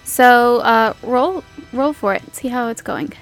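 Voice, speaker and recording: A woman's voice: a little high, unhurried, creaky, faint music behind, rough recording. A woman acting as game master, narrating a tabletop game in a casual, conversational way.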